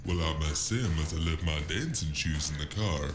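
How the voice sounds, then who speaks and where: A man's voice: very deep voice, a little fast. A man playing a slippery, comic gangster in a cyberpunk audio drama, with folksy, wisecracking talk. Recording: roomy echo, loud music behind.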